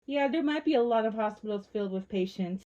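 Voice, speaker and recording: A woman's voice: mid-range voice, animated, quick pace, dark tone, lightly acted. A woman playing a confident, determined scientist in an amateur zombie outbreak audio drama. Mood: excited. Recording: dull lo-fi amateur microphone.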